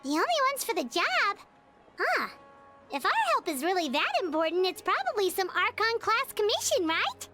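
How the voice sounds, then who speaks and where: A woman's voice: extremely high pitch, big pitch swings, normal speed. A woman voicing a tiny, chatty and curious female fairy companion who talks about herself in the third person. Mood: eager. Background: game music.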